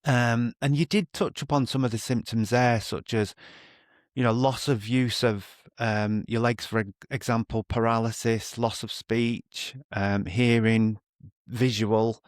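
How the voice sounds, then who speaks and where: A man hosting a podcast interview, halting and rambling as he thinks aloud in a conversational way. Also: medium pitch, fairly even intonation, no echo, clean studio sound. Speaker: podcast host.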